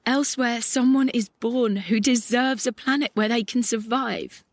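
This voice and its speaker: A woman's voice: on the high side, dry recording. A woman playing a melancholic, angry young idealist in a poetic audio drama monologue. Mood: hopeful, resolved. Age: young adult. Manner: lyrical, reflective, deliberate. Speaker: actor in an audio play.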